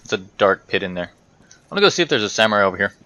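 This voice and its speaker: Bright-voiced man doing gaming commentary. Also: on the low side, sing-song, very fast. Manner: rambling, talks to himself and to game characters. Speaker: gaming video host. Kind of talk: casual video game playthrough commentary.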